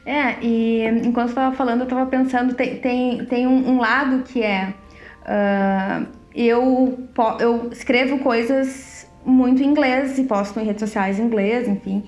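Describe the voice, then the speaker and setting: Brazilian woman co-host, slightly high, chatty, laughs a lot, echoey room with faint music. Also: fairly even intonation, measured pace, a little mellow, lo-fi sound. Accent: Brazilian Portuguese. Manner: chatty, laughs often, stretches words. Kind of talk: casual conversational chat.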